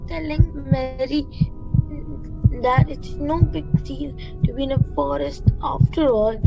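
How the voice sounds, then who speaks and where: Woman doing a nervous polite boy, high slow halting voice, slightly muffled, radio play. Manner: stammering, formal. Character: nervous, overly polite young boy Jack. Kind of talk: amateur radio play acting.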